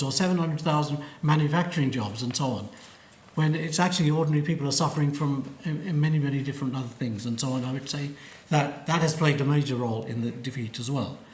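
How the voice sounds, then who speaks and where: Argumentative male academic, echoey hall. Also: fairly high pitch. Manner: argumentative, hedging with "and so on". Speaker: panel speaker. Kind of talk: academic panel talk. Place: lecture hall.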